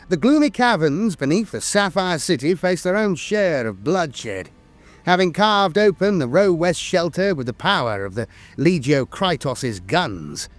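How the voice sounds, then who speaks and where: Higher-pitched man narrating lore, lively intonation. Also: no room sound. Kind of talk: scripted documentary-style lore narration. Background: music.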